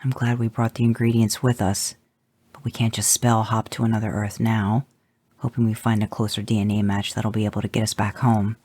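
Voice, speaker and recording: A man reading fan fiction, voicing a brash, impatient male monster hunter in a gruff, hurried manner. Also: fairly low pitch, flat delivery, a little mellow.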